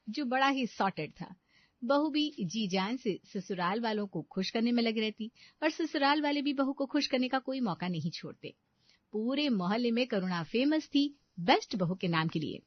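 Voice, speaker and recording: A woman storyteller with a fairly high voice narrates a scripted domestic tale. The recording is close and dry, with a slightly muffled top end. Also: no echo.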